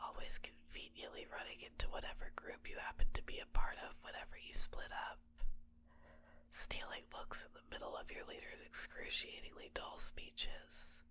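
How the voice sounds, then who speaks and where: Possessive female villain for ASMR roleplay, played by a woman: lowish voice, soft, coaxing, manipulative, tenderly doting. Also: normal speed, slight background noise, close to an everyday voice. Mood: whispered, insinuating. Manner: soft-spoken, teasing, drops into whispers. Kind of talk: ASMR roleplay, intimate personal attention.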